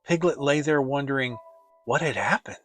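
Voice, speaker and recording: A narrator giving an expressive dramatic reading of a children's story. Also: medium pitch, normal speed, soft background music. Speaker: audiobook narrator.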